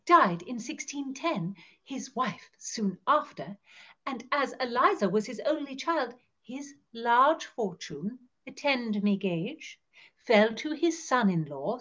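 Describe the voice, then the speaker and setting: Woman playing a gossipy, theatrical Tudor gentlewoman, slightly muffled. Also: on the high side, animated, takes it slowly. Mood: knowing, grand. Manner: archaic, declamatory, emphatic storytelling. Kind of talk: scripted character monologue for an educational show.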